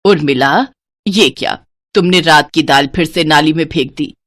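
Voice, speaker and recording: A woman's voice: low voice, normal speed, slight background noise, voice pushed down, natural voice. A woman voicing a patient but scolding older mother-in-law who lectures about waste.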